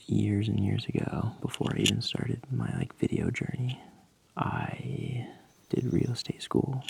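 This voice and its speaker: A young man talking candidly to his camera in a loose, soft-voiced way, swearing often and laughing at himself. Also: medium pitch, creaky. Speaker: vlogger. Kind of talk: casual reflective talk to camera.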